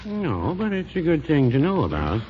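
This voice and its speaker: An actor playing a mild, cautious American druggist with a hesitant, deadpan way of talking, in 1940s radio comedy style. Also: male voice, fairly high pitch, moderate pace, crowd noise. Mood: dryly helpful. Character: mild, cautious, dry small-town druggist. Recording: muffled, noisy 1940s radio broadcast.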